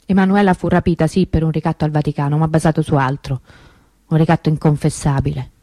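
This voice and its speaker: An actress playing the grieving, bitter sister of a kidnapped girl in a radio monologue, measured and emphatic. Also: sits low, steady tone, dark tone, no echo, natural voice. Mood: bitter, resolute.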